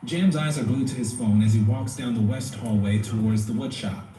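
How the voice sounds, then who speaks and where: A man's voice: mid-range voice, normal speed, mellow, slight background noise, natural voice. A man giving scripted narration for an audio drama. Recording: roomy, distant playback with music behind.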